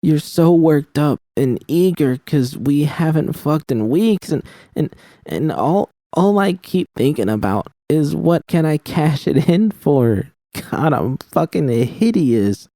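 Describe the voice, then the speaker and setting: A man's voice: fairly high pitch, expressive, mellow, no echo, studio quality, pitched above the performer's own voice. Man voicing a raised, foul-mouthed troubled young woman. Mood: distraught. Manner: swears often, halting with restarts.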